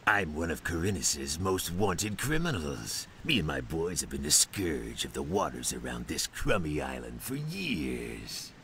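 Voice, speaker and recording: Gravelly, very deep swaggering pirate. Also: male voice, big pitch swings, measured pace, few pauses, sharp tone, a little hiss or hum, full character voice. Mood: boastful. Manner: brash, cursing. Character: gruff, swaggering, notorious pirate smuggler, irritable and boastful. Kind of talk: video game dialogue.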